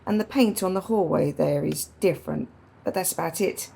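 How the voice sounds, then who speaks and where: Creaky-voiced grieving woman, played by an actress. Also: fairly low pitch, moderate pace, warm tone, exaggerated voice. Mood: wistful, wry. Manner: reflective, repeats phrases for emphasis. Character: grieving widowed woman, frank, wry, wounded. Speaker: radio play actor. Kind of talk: naturalistic radio drama dialogue and narration.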